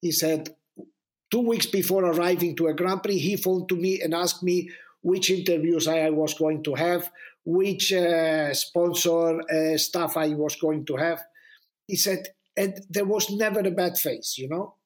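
A man with foreign-accented English telling anecdotes casually as a journalist guest, halting and repeating words for emphasis. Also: on the high side, steady tone.

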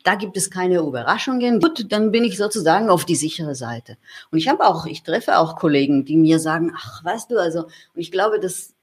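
A German woman giving a thoughtful interview, talking things through with many pauses and restarts. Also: lowish voice, expressive, husky. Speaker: interview guest. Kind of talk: reflective conversational interview.

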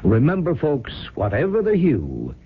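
An actor playing a slick, gushing television announcer with a husky voice and a grandiose delivery in a radio drama. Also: male voice, a little high, huge pitch swings, heavily acted. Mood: gushing showmanship. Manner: grandiose, drawn-out salesman delivery. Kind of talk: 1970s radio drama parody of a TV commercial. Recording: muffled, phone-like vintage radio sound.

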